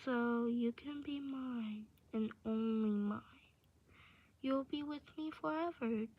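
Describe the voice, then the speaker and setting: A woman's voice: on the high side, takes it slowly. A woman voicing a possessive, lovesick male captor in an amateur audio roleplay, with syrupy, pleading delivery. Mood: possessive, brooding. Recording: dull audio with clear background noise.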